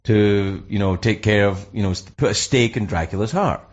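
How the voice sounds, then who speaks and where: A man with a Glasgow Scottish accent hosting a comedic radio show, rambling through jokes with deadpan asides. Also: lowish voice, normal speed, lo-fi sound. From Scotland.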